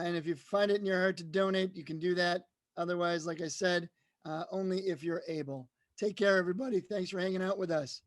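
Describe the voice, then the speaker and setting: A man's voice: high voice, dry recording. A man hosting an amateur theatre show, speaking informally to viewers.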